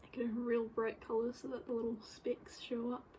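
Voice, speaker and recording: A woman giving casual, unscripted commentary while working on screen, rambling and halting as she goes. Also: fairly high pitch, monotone, warm tone, a little hiss or hum, low-quality recording. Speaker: game developer recording a work-in-progress video. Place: bedroom, indoors.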